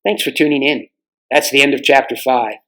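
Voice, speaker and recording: A man's voice: mid-range voice, fairly even intonation, fairly quick, a bit bright. A man reading a storybook aloud as narrator. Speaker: volunteer storybook reader.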